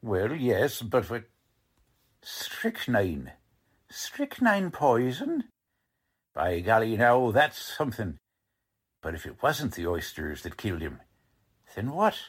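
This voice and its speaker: Man as a husky, gruff police lieutenant. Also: mid-range voice, huge pitch swings, takes it slowly, pauses often, full character voice. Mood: surprised, gruff. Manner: brusque, wisecracking, tough-guy slang. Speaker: police detective character. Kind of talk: 1940s radio drama acting.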